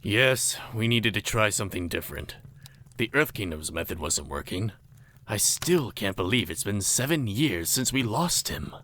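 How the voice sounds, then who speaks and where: A man playing a stern, dry-witted, easily exasperated middle-aged official in an animated fantasy story. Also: on the low side, moderate pace. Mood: dry, thoughtful. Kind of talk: animated fantasy visual novel voice acting.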